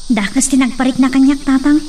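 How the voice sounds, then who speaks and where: Woman acting a high-voiced worried young daughter. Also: steady tone, brisk, darkish tone, far from the mic, a little hiss or hum, lightly acted. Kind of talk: radio drama acting.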